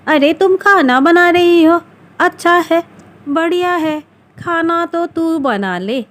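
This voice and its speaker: Woman doing a high, bossy mother-in-law. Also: takes it slowly, a bit bright, full character voice. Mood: taunting. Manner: taunting, commanding. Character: sarcastic older mother-in-law, bossy in the household. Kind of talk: cartoon family drama.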